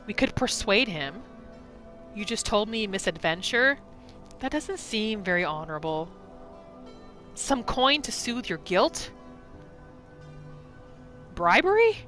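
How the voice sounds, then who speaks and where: A woman narrating a role-play gaming video, musing aloud about her character in an expressive, questioning way. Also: mid-range voice.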